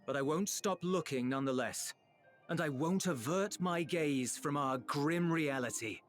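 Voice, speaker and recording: A man playing an earnest younger officer of a ruined empire in a video game, speaking in a solemn, measured and impassioned way. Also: on the high side, faint music behind, close to an everyday voice. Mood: solemn, resolute. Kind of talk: dramatic video game voice acting.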